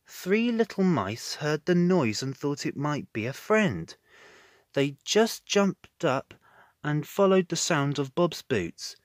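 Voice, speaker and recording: A man narrating a children's storybook in an expressive reading style. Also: fairly high pitch, slow, no room sound, clean studio sound.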